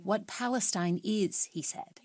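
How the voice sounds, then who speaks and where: An American woman narrates a radio feature as a reporter. Her delivery is scripted storytelling, in a mid-pitched voice. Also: measured pace, darkish tone.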